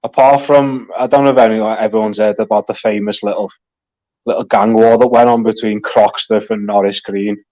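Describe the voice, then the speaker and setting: A man's voice: on the low side, steady tone, moderate pace, warm tone, lo-fi sound. A man with a Liverpool accent talking in a loose, rambling way in a casual chat, swearing freely. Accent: Liverpool (Scouse). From England. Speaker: guest.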